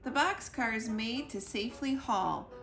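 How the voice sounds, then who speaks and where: A woman reads a picture book aloud for children, unhurried and expressive. Her fairly high voice has a noticeable creak, and she leans playfully on the rhymes. The room sounds echoey, with music behind. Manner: deliberate, playful emphasis on rhyming words. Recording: roomy echo with music behind.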